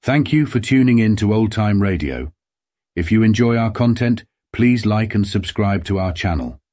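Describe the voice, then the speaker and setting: A man's voice: lowish voice, lively intonation, normal speed, darkish tone, no echo, studio quality. A man reading a scripted channel outro as an announcer.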